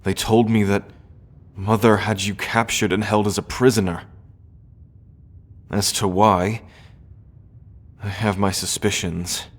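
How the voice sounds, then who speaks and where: Low, slow-spoken earnest young prince acted by a man. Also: level tone. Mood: concerned, earnest. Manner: gentle, formal, measured with weighty pauses. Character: young adult prince, earnest, sorrowful, loyal brother. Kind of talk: fantasy audio roleplay acting.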